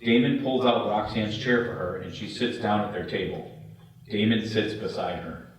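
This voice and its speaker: A man's voice: fairly low pitch, steady tone, normal speed. A man reading scripted stage directions at a table read. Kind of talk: scripted narration. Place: echoey room, away from the mic. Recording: echoey room, distant mic, faint noise.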